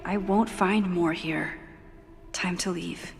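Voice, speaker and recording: A woman's voice: fairly low pitch, steady tone, takes it slowly, in a large hall, faint music behind. A woman playing an earnest, determined young investigator in a video game, speaking in an introspective way. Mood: brooding, resolved.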